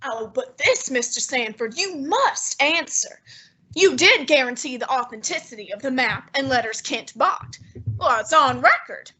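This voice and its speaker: A woman playing a fussy, imperious, heavyset male detective in a student radio drama, speaking in a haughty, deliberate, commanding way with a breathy tone. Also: high voice, lively intonation, sharp tone, full character voice. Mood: interrogating.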